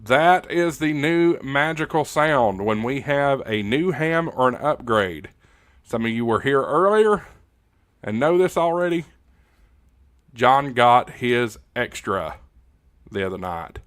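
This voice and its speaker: A man's voice: mid-range voice, expressive, slow pace, a bit bright, smooth, no room sound, clean studio sound. An American man hosting a livestream, chatting casually in an informal, folksy, joking way as he talks to viewers. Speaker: livestream host. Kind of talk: casual livestream chat reading viewer comments.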